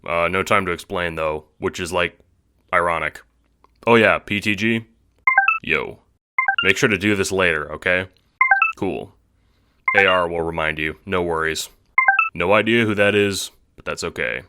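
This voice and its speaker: Man voicing teenage boy, audio drama, low-pitched, deadpan, offhand slang, noisy recording. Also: unhurried, long pauses. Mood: deadpan, casual. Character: laid-back deadpan teenage boy time traveler. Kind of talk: audio drama character dialogue.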